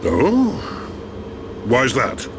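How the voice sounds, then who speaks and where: Slow, swooping old machine chief. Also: male voice, mid-range voice, huge pitch swings, noisy background, heavily acted. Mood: inquiring. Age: older. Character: old machine-race chief, genial, unhurried elder. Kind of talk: video game voice acting.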